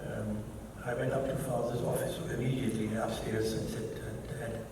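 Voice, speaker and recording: A man's voice: lowish voice, little pitch movement, normal speed. An older man with a German accent, a native German speaker, gives a keynote talk and tells personal stories in a reflective way, quoting others and correcting himself as he goes. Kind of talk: personal testimony lecture. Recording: echoey hall, mic at a distance, background noise.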